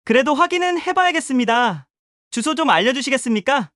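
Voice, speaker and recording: A woman voicing a brisk formal detective. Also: sits high, expressive, fairly bright tone, no echo, studio quality, voice pushed up. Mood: businesslike. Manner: formal, questioning. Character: brisk polite police detective. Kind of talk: audio drama acting.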